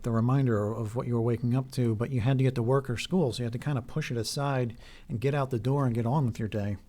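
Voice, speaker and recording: Soft-toned man talking earnestly, voice wearing thin. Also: medium pitch. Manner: halting, with fillers and restarts. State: voice getting hoarse. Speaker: video host. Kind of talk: earnest talk to camera.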